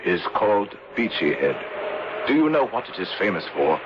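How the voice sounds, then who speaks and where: Low-voiced, courtly gentleman rescuer. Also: sing-song. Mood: grave. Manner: formal, measured, courtly. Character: grave, gentlemanly British male rescuer and narrator. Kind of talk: old-time radio drama acting. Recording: dull, noisy old radio broadcast.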